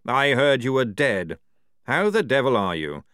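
A man voicing a senior male army general who speaks in a measured, unhurried, quietly commanding way. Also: medium pitch, big pitch swings, clean tone, no echo, studio quality, voice pushed up. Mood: jovial.

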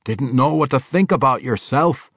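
Man doing a gruff dwarf king. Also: mid-range voice, moderate pace, a bit bright, no room sound, studio quality, pitched above the performer's own voice. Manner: blunt, dialect speech. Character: gruff male dwarf king, steady leader. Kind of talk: audiobook character voice.

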